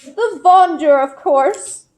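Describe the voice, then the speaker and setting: A woman's voice: extremely high pitch, animated, takes it slowly, darkish tone, echoey room, a little hiss or hum, voice pushed up, full character voice. A woman voicing a disgruntled, suspicious elderly village woman with a strained, scolding delivery. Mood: warning. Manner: scolding, grumbling.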